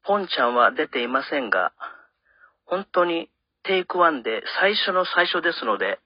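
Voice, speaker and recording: Higher-pitched male radio host, slightly dull sound. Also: very animated, normal speed, husky. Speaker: radio host and drama narrator. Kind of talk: scripted radio narration and show hosting.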